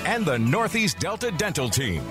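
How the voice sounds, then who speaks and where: A man announces the start of a radio program in an upbeat promotional read. His pitch swings widely, and music plays clearly underneath. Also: sing-song, normal speed. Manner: bright promo delivery. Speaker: show announcer. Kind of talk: recorded radio show intro. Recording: announcer over music bed.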